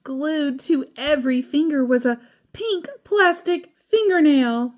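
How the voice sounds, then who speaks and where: A woman reading a children's picture book aloud as storyteller, expressive and deliberate, with casual asides. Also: sits high.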